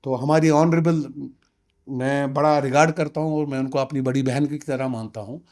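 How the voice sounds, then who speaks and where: A man's voice: medium pitch, fairly even intonation, normal speed, a little mellow. A man of about sixty, giving emphatic interview testimony and slipping in English phrases. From Kashmir, India. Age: around 60. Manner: emphatic, insistent, uses rhetorical questions. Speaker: interviewee, community representative.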